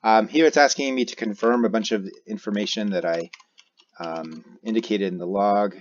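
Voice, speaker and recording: Deep-voiced man, American accent, step-by-step screencast tutorial, hesitant thinking aloud, muffled lo-fi sound. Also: normal speed. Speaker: tutorial presenter, amateur radio operator. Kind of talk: unscripted screencast software walkthrough. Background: keyboard typing and mouse clicks.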